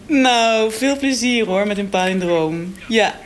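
Lively, chirpy telephone operator. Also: female voice, mid-range voice, slow pace. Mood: helpful, chirpy. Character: chirpy, helpful female institute telephone operator. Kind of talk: one-sided phone call in satirical radio drama. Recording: clear background office noise, clicks.

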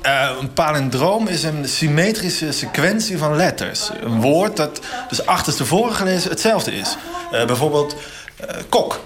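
An actor playing an earnest, easily indignant institute director in a satirical radio interview, hesitant and pedantic. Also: male voice, a little high, lively intonation, moderate pace, natural voice. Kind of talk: mock radio interview, satirical audio drama. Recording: busy call centre chatter behind. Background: crowd of voices in a call centre.

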